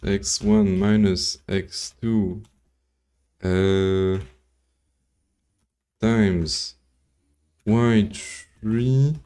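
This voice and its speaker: A man's voice: on the low side, dark tone. A man from Belgium whose first language is French, thinking aloud and reading formulas during a casual live coding stream, with dry jokes. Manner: thinks aloud, reads formulas slowly, joking asides. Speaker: coding livestreamer.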